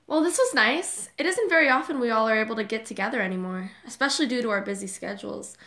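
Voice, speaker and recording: Upbeat, kind high school girl played by a woman, higher voice, audio drama role. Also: fast talker, sharp tone. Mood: cheerful. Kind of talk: amateur audio drama acting.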